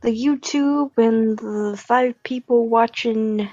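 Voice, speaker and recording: A woman's voice: on the high side, fairly even intonation, takes it slowly, darkish tone, dry recording. A woman chatting casually on a livestream in a blunt, teasing way. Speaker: co-host of a morning livestream. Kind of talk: casual chat. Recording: dull lo-fi mic, music behind.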